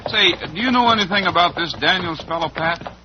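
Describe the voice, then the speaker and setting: An actor playing a steady, fair-minded cowboy hero in an old-time western radio drama. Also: male voice, high-pitched, brisk, background noise, natural voice. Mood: thoughtful. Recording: muffled vintage radio broadcast.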